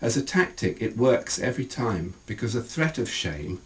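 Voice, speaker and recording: A middle-aged man reading aloud from his own book as an author, in measured, deliberate phrases. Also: mid-range voice, sing-song, distant mic. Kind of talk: scripted literary reading.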